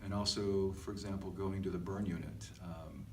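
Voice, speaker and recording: A middle-aged man talking casually and reflectively as a podcast co-host, halting and self-deprecating. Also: low voice, fairly even intonation. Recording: echoey, distant mic with faint noise.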